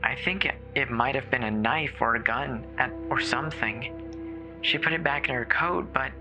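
A performer voicing a terrified woman caller alone in a snowbound house, halting and stammering with fear in a horror audio drama. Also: mid-range voice, music in the background, close to an everyday voice. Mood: uneasy, nervous. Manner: halting, fearful, breathy stammering. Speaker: emergency caller.

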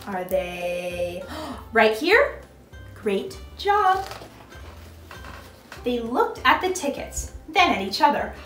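High, very animated woman reading a storybook. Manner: expressive, instructive, addressing small children. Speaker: costumed storytime host reading as a princess. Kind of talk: animated children's storybook narration with questions to viewers. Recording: echoey room.

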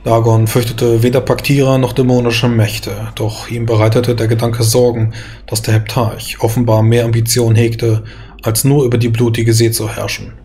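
A man's voice: mid-range voice, level tone, normal speed, dark tone. A man reading a scripted, dramatic fantasy story as its narrator.

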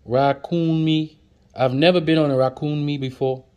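A man's voice: on the high side, fairly even intonation, normal speed, warm tone, smooth. A man, chatting through flashcards as he teaches words, repeating each one and joking with viewers. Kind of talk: casual flashcard lesson. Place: indoors at a table, off camera.

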